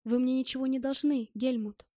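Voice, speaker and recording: Женщина читает за сдержанного, вежливого героя аудиокниги. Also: female voice, high-pitched, a little fast, no room sound, studio quality, natural voice. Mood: calm reassurance. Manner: restrained, polite, calming. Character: composed, reassuring male first-person hero, slyly polite.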